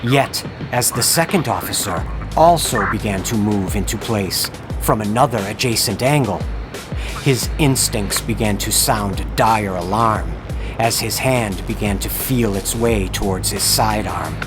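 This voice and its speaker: A man narrating a scripted horror story in a dramatic, deliberate way. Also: lowish voice. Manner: dramatic, ornate, deliberate phrasing. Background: dramatic music bed.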